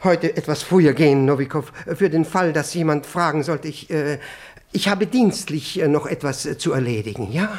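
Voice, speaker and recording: An actor playing a nervous, jealous, self-important Russian official in a German radio play, stammering and repeating himself. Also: male voice, fairly high pitch, moderate pace, few pauses, lightly acted. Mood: hurried, evasive. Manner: stammering, repeats words, breaks off.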